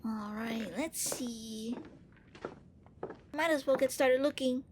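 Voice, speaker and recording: A woman playing a gentle, bookish young vampire prince in an ASMR roleplay, speaking with hesitant, earnest courtesy. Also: high voice, takes it slowly, background noise. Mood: musing. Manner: hesitant, politely fussy, earnest.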